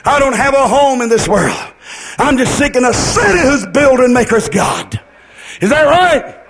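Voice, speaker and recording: A man's voice: very high voice, big pitch swings, moderate pace, creaky, fairly bright tone, a little hiss or hum. A man preaching a fervent revivalist sermon in a declamatory, sing-song cadence, repeating phrases and putting rhetorical questions to his listeners.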